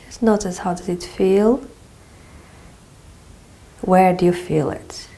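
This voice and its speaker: Female movement teacher, stuffy nose from a cold, slow mellow delivery. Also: on the low side, rough recording. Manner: slow, calm step-by-step guidance with frequent "okay". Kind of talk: guided exercise instruction.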